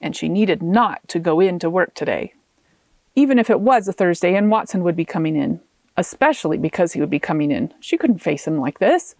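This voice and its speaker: A woman author reading her own fiction aloud as an expressive audiobook narrator. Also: lowish voice, brisk, no room sound.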